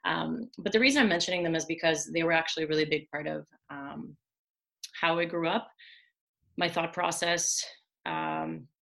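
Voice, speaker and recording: A woman's voice: deep voice, lively intonation, normal speed, vocal fry, fairly bright tone. A woman from Moldova whose first language is Russian, answering interview questions thoughtfully, with many ums and restarts. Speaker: podcast guest.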